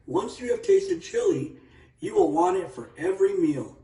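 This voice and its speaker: Guy doing a friendly old chief, voice way up high and swoopy, kids story, echoey. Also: huge pitch swings, moderate pace, vocal fry, dark tone, full character voice. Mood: genial, amused. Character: genial, welcoming old male clan chief. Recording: roomy echo, distant microphone.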